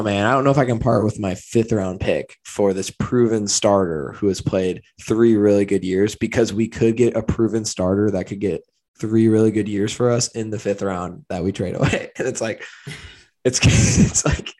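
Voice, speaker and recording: A young man co-hosting a casual sports podcast, his voice raspy and tired, easygoing in manner. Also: on the low side, steady tone, normal speed, a little mellow. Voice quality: slightly raspy. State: losing his voice. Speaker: podcast co-host.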